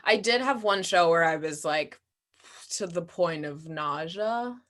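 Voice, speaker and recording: Candid young woman with a lowish voice. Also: animated, measured pace, a bit bright. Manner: candid, self-deprecating, often laughs through words. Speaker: guest playwright and performer. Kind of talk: casual audience Q&A.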